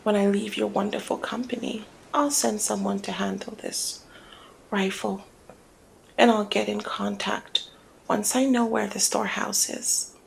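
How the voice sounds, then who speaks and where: A woman playing a flirty, cunning female mob boss in an intimate ASMR roleplay, speaking in a teasing, deliberate murmur that often slips toward a whisper. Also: medium pitch, steady tone, slight background noise, rough recording. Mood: pleased, smooth. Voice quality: breathy creaky murmur, often dropping to whisper. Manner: teasing, deliberate, drawn-out phrasing.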